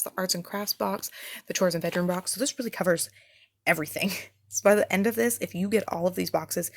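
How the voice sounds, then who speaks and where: Woman, lower-pitched, gravelly fry, casual parent reviewer, informal enthusiastic explaining. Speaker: homeschool product reviewer, parent.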